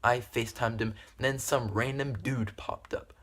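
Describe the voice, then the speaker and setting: A man's voice: medium pitch, steady tone, normal speed, a bit bright. A young man telling a story casually to camera in a rambling, giggly, repetitive way. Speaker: video creator telling stories.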